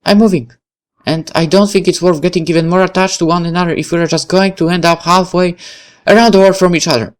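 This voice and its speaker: A man's voice: sits high, steady tone, fairly quick, voice pushed up, lightly acted. A man voicing a melancholy, resigned teenage girl in a girlish voice, reading visual novel dialogue aloud. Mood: sad, resigned.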